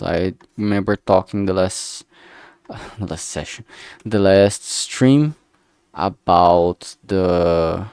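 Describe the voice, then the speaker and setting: A man talking casually on a work-along livestream, rambling and halting as he thinks aloud. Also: low voice, creaky, darkish tone, dry recording, clean studio sound. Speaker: livestreamer making a visual novel.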